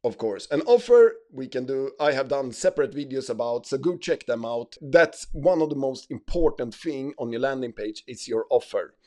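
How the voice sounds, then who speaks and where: A man's voice: mid-range voice, expressive, moderate pace, hardly any pauses, a little mellow. A man, not an English speaker, hosting an ecommerce tutorial with many fillers and restarts. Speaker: ecommerce channel host. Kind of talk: screen-share tutorial.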